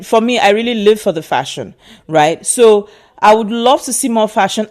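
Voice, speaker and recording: Nigerian-accented woman, vlogger, lively swooping pitch, emphatic and repetitive. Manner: emphatic and animated, repeats herself for stress. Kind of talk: casual opinion vlog.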